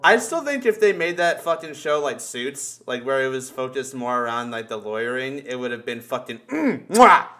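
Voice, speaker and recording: A man's voice: medium pitch, sing-song, normal speed, hardly any pauses, pushed and bright. A man chatting casually on a podcast over a video call, opinionated and freely swearing as he rants. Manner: opinionated, profane ranting. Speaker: podcast participant. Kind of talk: casual chat.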